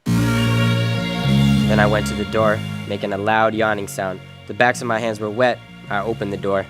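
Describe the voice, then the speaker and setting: An actor playing a hard-boiled, wisecracking private detective in a noir radio drama, terse and wry. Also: male voice, on the low side, flat delivery, a little slow, music in the background, close to an everyday voice. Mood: grim narrating. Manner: wry, terse, tough-talking. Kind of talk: noir radio drama acting and first-person narration.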